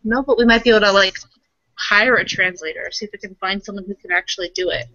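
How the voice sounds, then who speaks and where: A woman's voice: mid-range voice, fast, bright, forceful tone, no room sound, dull audio. A woman playing a tabletop roleplaying game, talking casually as she works out plans with the group.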